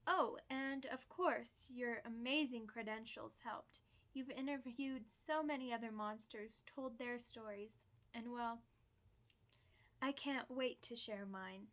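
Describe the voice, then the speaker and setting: A woman's voice: on the high side, slow, a bit bright. A woman voicing a chatty, playful, theatrical old vampire in an ASMR roleplay, full of mock-grand asides. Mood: chatty, gracious.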